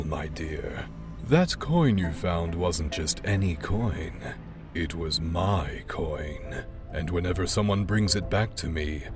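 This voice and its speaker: A man playing a smooth, sly, salesman-like wish granter in an audio horror drama, speaking with formal, suave courtesy. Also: low voice. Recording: loud music under the voice.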